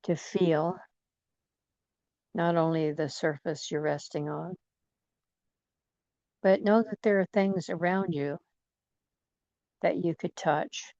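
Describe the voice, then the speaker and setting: A woman leading guided imagery in a soothing, gentle manner, leaving long pauses between phrases. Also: lowish voice, steady tone, no echo, muffled recording. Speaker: guided meditation leader.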